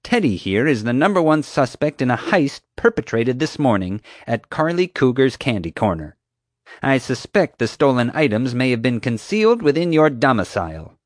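A man's voice: mid-range voice, sing-song, normal speed, no echo, studio quality, voice pushed up, lightly acted. A man voicing a rude, pompous, dim-witted woman security officer in an audiobook, with an officious, accusatory way of talking. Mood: pompous, accusing. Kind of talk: audiobook character voice.

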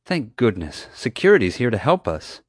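A man's voice: fairly low pitch, very animated, normal speed, no room sound, studio quality, voice pushed up. A man voicing a stern, exasperated mother who speaks up for her son in an audiobook, indignant and demanding. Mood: polite. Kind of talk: audiobook character voice.

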